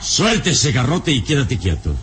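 An actor playing a fearless, self-assured adventurer hero in a radio serial, speaking with measured, firm authority. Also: male voice, medium pitch, wildly swooping pitch, heavy background noise. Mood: authoritative, defiant. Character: fearless, calm male adventurer hero, commanding and sure of himself. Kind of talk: Spanish-language radio serial acting. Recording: old radio serial, music underneath.

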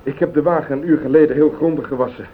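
An actor playing a defensive, desperate accused husband in a radio play, protesting and pleading in a Netherlands Dutch accent. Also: male voice, fairly high pitch, steady tone, fast talker, slightly rough. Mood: sheepish explaining. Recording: muffled, phone-like old radio recording.

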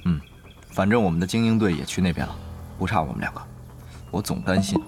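A man's voice: deep voice, expressive, fast talker, a little mellow, a little hiss or hum. A man playing a wry, cautious online guild leader in a Mandarin audio drama, weighing things aloud sceptically. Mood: doubtful, worried. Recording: music behind the dialogue.

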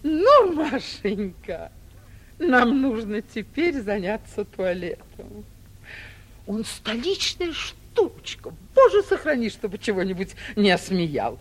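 An actress playing a vain, bossy, middle-aged mayor's wife, grand and flustered, in 1940s theatrical comedy style. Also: female voice, mid-range voice, sing-song, slow pace. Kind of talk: 1940s theatrical stage comedy acting for radio.

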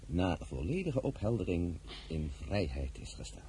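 A polite, officious young clerk in a radio drama, formal and gallant. His lowish, mellow voice moves up and down in lively fashion, over faint noise. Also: moderate pace. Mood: matter-of-fact. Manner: formal, courteous.

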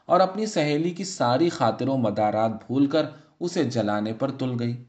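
Male audiobook narrator for literary short fiction. Middle register, measured and expressive storytelling delivery. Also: medium pitch.

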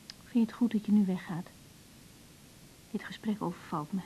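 Young lady doctor in a radio play, earnest, wounded, mellow husky voice, dullish sound. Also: medium pitch, normal speed. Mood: guarded, irritated. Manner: earnest, emotionally open, introspective. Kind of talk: naturalistic radio drama acting, diary-like monologues. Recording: dull radio recording with music.

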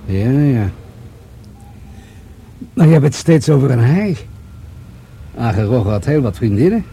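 An actor playing a wry, fatherly police commissioner in a radio drama, speaking in a dry, deliberate, pondering way. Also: medium pitch, expressive, gravelly, mellow, husky, heavily acted. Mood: musing, wry. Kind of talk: Dutch radio drama dialogue.

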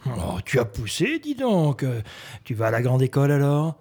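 Blustering old grandfather, acted by a man, swooping pitch. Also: huge pitch swings, normal speed, a little mellow. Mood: nosy, teasing. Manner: exclamatory, blustering. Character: tactless elderly grandfather, chatty, easily scandalised, shifty. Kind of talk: French audio drama acting.

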